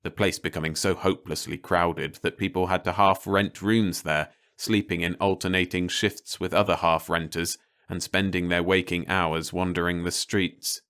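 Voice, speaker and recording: Deep, smooth-voiced male story narrator. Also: level tone, normal speed, hardly any pauses, fairly bright tone. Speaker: audiobook narrator. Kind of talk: scripted story narration, read-along audiobook.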